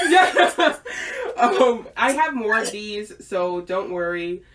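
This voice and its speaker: A woman's voice: mid-range voice, animated, slow, a bit bright. Female host, casual, giggly, lots of ums, mic set back from her. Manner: giggly, many fillers and restarts. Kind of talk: casual chat. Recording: distant mic.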